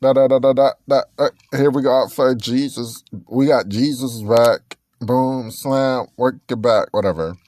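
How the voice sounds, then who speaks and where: A man's voice: medium pitch, a little slow, few pauses, a little mellow, no room sound. A man in his twenties hosting a casual solo podcast, chatty and rambling, correcting himself as he goes and breaking into snatches of songs. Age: 20s. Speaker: podcast host.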